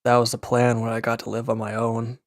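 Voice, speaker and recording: A man's voice: medium pitch, completely flat delivery, a little fast, no room sound, studio quality, lightly acted. A man playing a wounded former villain in an audio roleplay, sullen, defensive and restless, talking in a curt, grudging, sarcastic way. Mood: puzzled, guarded. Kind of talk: intimate audio roleplay acting.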